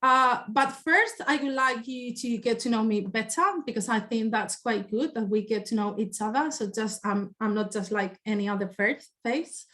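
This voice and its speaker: A woman's voice: a little high, normal speed, few pauses, a bit bright. A woman in her thirties from Spain with a Spanish accent, giving a webinar talk with many fillers and restarts. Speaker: sustainability staff member presenting. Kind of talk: webinar presentation. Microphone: video call.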